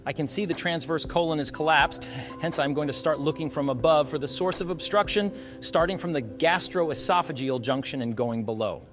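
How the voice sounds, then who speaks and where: A man's voice: on the high side, normal speed, music in the background. A man narrating an explanatory case walkthrough as a radiology instructor, posing questions to the viewer as he teaches. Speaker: radiology instructor presenting cases.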